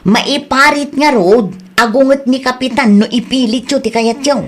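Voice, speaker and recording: A woman's voice: sits high, moderate pace. A woman playing a worried middle-aged village wife and mother who nags and pleads with her stubborn husband. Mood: scolding. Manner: nagging, pleading, scolding. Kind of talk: audio drama acting. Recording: rain and ambience noise behind. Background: steady rain.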